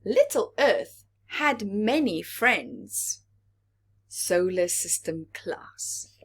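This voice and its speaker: Woman, children's storyteller, unhurried pace, lively swooping intonation, explanatory asides, questions to young listeners. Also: mid-range voice, husky. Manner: expressive, asks the listeners questions.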